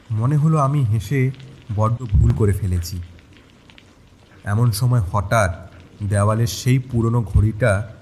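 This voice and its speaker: A man's voice: lowish voice, darkish tone, slight background noise. A man narrating a first-person horror audio story in a scripted storytelling style. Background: rain sounds.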